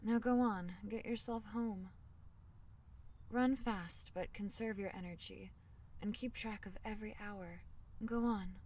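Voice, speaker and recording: A woman voicing a tiny, caring fairy in a soothing ASMR fantasy roleplay, speaking softly in slightly formal storybook phrases. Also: medium pitch, steady tone, a little slow, recorded from a distance. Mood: amazed, urging. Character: tiny gentle female fairy, caring and protective.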